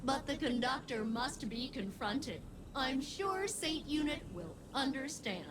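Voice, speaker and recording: A woman's voice: sits high, lively intonation, unhurried, background noise. A woman voicing a polite, chipper ship artificial intelligence in a video game, with formal, precise, sing-song phrasing. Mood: earnest. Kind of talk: video game dialogue acting.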